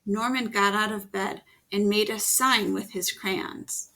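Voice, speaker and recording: A woman reading a children's picture book aloud, gently pausing to ask her young listeners questions. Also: mid-range voice, fairly even intonation, gravelly, poor audio. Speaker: storytime reader.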